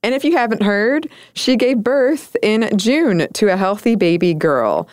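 A woman's voice: medium pitch, expressive, a little slow, darkish tone, dry recording, studio quality. A woman co-hosting a casual podcast, chatty and thoughtful, laughing often. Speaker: podcast co-host.

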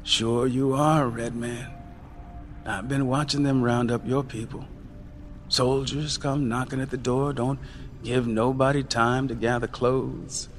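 A man voicing a weary, grim older uncle who works a gold mine, speaking low and grave in an audiobook. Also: flat delivery, measured pace, faint music behind, lightly acted. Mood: menacing.

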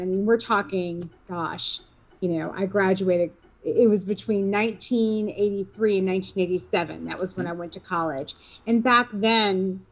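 A woman's voice: mid-range voice, fairly bright tone, poor audio. Chatty woman in her fifties. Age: 50s. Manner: rambling, many fillers and restarts. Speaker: interview guest. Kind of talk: casual conversational interview.

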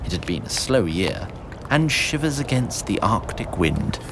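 A man's voice: lowish voice, sing-song, unhurried, background noise. A man narrating a fantasy audio drama in a theatrical, formal storybook style. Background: music and sound effects.

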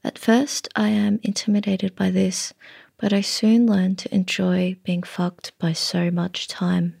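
A woman reading her own literary story in a measured way, often dropping to a breathy near-whisper. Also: medium pitch, level tone, darkish tone, no room sound, clean studio sound.

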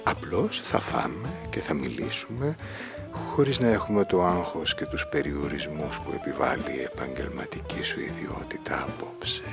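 Very deep, gravelly suave seducer. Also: male voice, over music. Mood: suave, seductive. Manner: suave, unhurried, insinuating, lapsing into breathy murmurs. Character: smooth wealthy married businessman seducing a nightclub hostess. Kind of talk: Greek podcast radio play acting.

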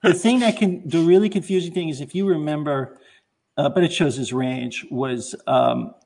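A man's voice: on the high side, moderate pace, creaky, darkish tone. A man on a talk show panel, sharing recollections in casual conversation. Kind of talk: casual chat, film recollections.

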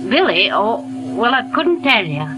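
A woman's voice: on the high side, big pitch swings, unhurried, low-quality recording. An actress playing a folksy, hesitant older woman who once did comedy, in a 1940s radio drama. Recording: 1940s radio broadcast with music.

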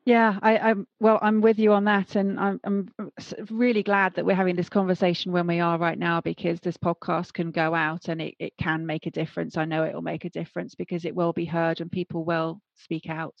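A woman hosts a podcast interview in an earnest, conversational manner. Her voice is lowish for a woman, with noticeable creak. The sound is dry and slightly dull. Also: little pitch movement, brisk, few pauses, no echo.

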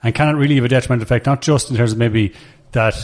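A man hosts a sports podcast and asks long, chatty questions. He talks fast, at a middle pitch. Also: fairly even intonation. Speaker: podcast host. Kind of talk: podcast hosting and interviewing.

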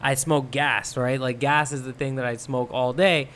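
Smooth-voiced man, rambling and relaxed. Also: medium pitch, normal speed. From Thailand. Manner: rambling, relaxed, many fillers. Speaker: guest. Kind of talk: casual podcast conversation.

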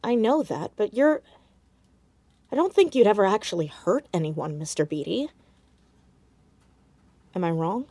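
A woman's voice: mid-range voice, animated, unhurried, long pauses, mellow, no room sound. A woman playing a fearless, sceptical teenage girl sleuth in a naturalistic audio drama, probing bluntly with wry directness. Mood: frank, wry.

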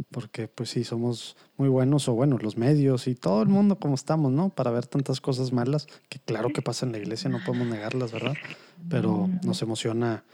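A man co-hosts a podcast in a rambling, light-hearted way, poking fun at himself. His voice is mellow and warm, at a middle pitch. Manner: rambling, light, self-deprecating. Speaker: podcast co-host. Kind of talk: casual chat.

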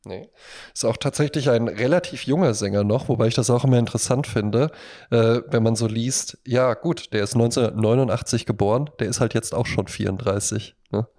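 A man with a mid-pitched voice talks as a podcast host in a casual music chat. He tells things in a chatty, anecdotal way, like recounting stories to a friend. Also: brisk, warm tone.

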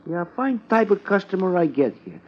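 A man's voice: high voice, very animated, fairly quick. An actor playing a streetwise, grumbling lunch-wagon counterman with slangy wisecracks in a 1950s radio drama. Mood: grumbling, awed. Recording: dull, noisy vintage radio recording.

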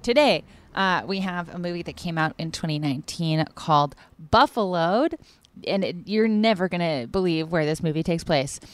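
Hoarse joking woman podcast host. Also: fairly low pitch, expressive. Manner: joking, laughs often, quick asides. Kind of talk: casual chat.

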